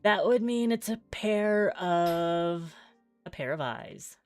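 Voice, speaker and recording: A woman with a fairly low voice narrates a game story aloud in a theatrical way, breaking in with wry comments. Faint music plays behind her. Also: expressive, slow pace, a little mellow, no echo. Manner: theatrical, wry, exclaiming asides. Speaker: streamer playing a visual novel. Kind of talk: reading game text aloud with joking asides.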